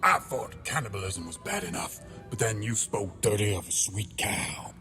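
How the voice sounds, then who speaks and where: A low-pitched crab detective, acted by a man, pompous and sentimental. He tells his log with grand melodrama for a comic audio drama, over music. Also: moderate pace, pushed and bright. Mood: scolding. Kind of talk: comedic audio drama narration and dialogue. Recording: music behind the voice.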